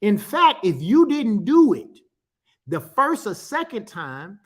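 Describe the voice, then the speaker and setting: A man's voice: high-pitched, big pitch swings, slow pace. A man, a pastor giving a teaching talk, repeating phrases for emphasis and calling out to his listeners. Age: 50s. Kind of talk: teaching talk to an online audience.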